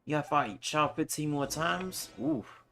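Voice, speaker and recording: A man streaming a game and chatting loudly and offhand over the cutscenes. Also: fairly high pitch, a little slow, slight background noise. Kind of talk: casual live game commentary.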